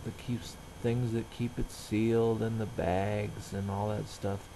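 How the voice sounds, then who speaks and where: A middle-aged man speaks very slowly, in a somewhat low voice with a heavy creak. He rambles and halts as he casually narrates everyday chores, sounding plain-spoken, with faint ambient noise behind him. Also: little pitch movement. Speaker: vlogger.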